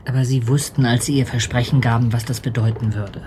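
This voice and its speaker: An actor in a radio drama playing an earnest, appalled man responding to a grim confession, with a creaky voice. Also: medium pitch, steady tone, fairly quick, noisy background, natural voice.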